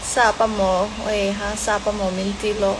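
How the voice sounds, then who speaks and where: A woman answering fan questions in a relaxed, hesitant way, mixing several languages and pausing with fillers. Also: mid-range voice. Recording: steady background hiss.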